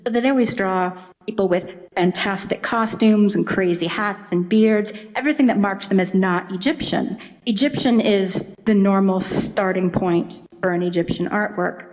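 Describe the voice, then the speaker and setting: Low-voiced woman explaining, slightly muffled. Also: moderate pace, darkish tone. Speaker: Egyptologist, interview guest. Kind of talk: conversational expert explanation. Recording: dull, lo-fi audio.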